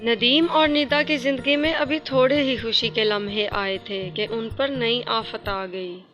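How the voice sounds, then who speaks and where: A woman's voice: on the high side, fairly even intonation, unhurried, fairly bright tone, dull audio. A woman narrating a scripted moral story as a storyteller. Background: music.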